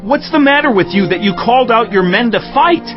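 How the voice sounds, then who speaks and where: A high-pitched male warrior of the ancient world, played by an actor, bold and commanding. He speaks with theatrical force in a scripture audio drama, slightly muffled, over faint music. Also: animated, a little fast. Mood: threatening. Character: ancient Danite warrior spokesman, bold, urgent, menacing.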